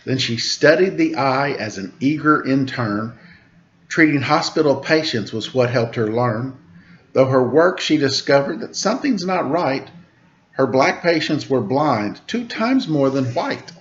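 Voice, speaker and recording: A man reads a rhyming children's story aloud with a bouncing, sing-song cadence, lifting his voice for the quoted lines. His voice sits at a middle pitch. The recording sounds a little dull and muffled. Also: lively intonation, unhurried. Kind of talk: rhyming picture-book read-aloud.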